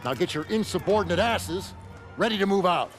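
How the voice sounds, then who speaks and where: A man's voice: sits high, animated, a little fast, creaky, soft background music. An actor playing a stern army officer who scolds his men in a clipped, authoritative way. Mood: angry, scolding. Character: stern male army officer, harsh and demanding.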